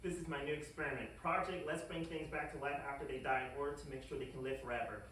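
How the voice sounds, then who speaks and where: Someone doing a melodramatic cartoon scientist, whiny and scheming, sharp bright voice, really echoey room. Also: mid-range voice, steady tone, fast, distant mic, lightly acted. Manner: melodramatic, flustered. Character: whiny, scheming, dramatic scientist Doctor Villalobos. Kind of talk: comedy animation table read. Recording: echoey room from afar, faint noise.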